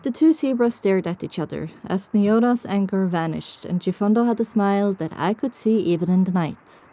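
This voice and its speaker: A woman's voice: mid-range voice, normal speed, dark tone, lightly acted. A woman playing a reflective pony survivor, narrating her own story in a dramatic audiobook style. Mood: hopeful. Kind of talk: dramatic first-person scripted narration.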